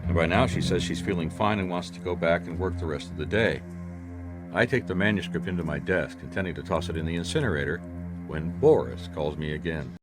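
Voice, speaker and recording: An actor playing a world-weary, wry private detective with an American accent in a noir radio drama, dry and hard-boiled in dialogue and narration. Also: male voice, low-pitched, expressive, brisk, over music.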